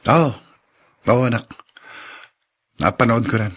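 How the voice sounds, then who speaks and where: A bitter man bent on revenge in a radio drama. His voice is husky and sits at a middle pitch, cold and resentful. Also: takes it slowly, a bit bright. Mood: tired.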